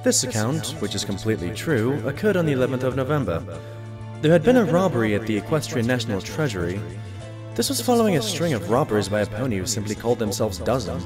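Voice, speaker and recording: A man voicing a genial doctor pony, a detective's companion, narrating in an expressive, wry storytelling manner. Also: mid-range voice, quick pace, lightly acted. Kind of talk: storybook-like first-person narration in audio drama. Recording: music bed behind the voice. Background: background music.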